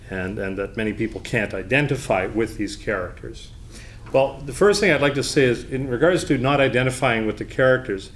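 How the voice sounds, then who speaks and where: A man delivering an off-the-cuff debate speech as opposition leader, formal and deliberate, with halting phrasing. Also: mid-range voice. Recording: background noise, live room.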